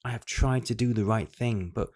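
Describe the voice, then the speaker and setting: An actor with a British accent playing a regretful middle-aged husband, speaking in a measured, reflective way in an audio drama. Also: male voice, lowish voice, darkish tone, natural voice. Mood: guilty, self-justifying. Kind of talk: confessional audio drama monologue.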